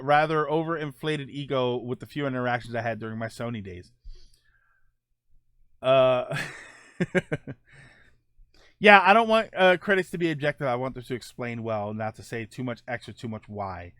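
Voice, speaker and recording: A man's voice: medium pitch, fairly bright tone, smooth, no room sound, clean studio sound. A man hosting a casual podcast, reading viewer topics and tweets aloud with many ums and restarts. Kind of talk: casual solo podcast chat.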